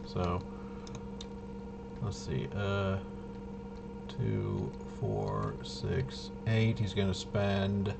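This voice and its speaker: A man thinking aloud through a tabletop game walkthrough, casually muttering to himself in a halting way and trailing off. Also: low-pitched, big pitch swings, creaky, background noise. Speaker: solo tabletop RPG player demonstrating gameplay.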